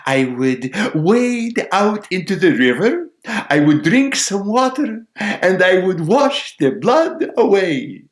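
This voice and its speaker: A man voicing a tiny, cheerful, untroubled sparrow in a folk tale, with a Russian accent and singsong, drawn-out phrases. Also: sits high, very animated, pitched above the performer's own voice, heavily acted. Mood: breezy, confident. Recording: roomy, echoing space.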